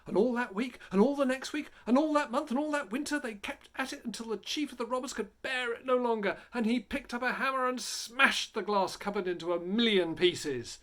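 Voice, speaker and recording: A man reading a story aloud in a theatrical, dramatic way, building and hushing for effect. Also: extremely high pitch, a little fast, hardly any pauses. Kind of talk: animated dramatic storybook narration.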